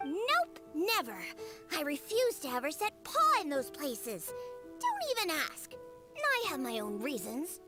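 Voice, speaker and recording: A proud little cat-girl bartender, pitched extremely high and swooping about with lively emphasis. She is cute, stubborn and huffy, in anime game style, with faint music underneath. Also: a little slow, exaggerated voice. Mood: indignant.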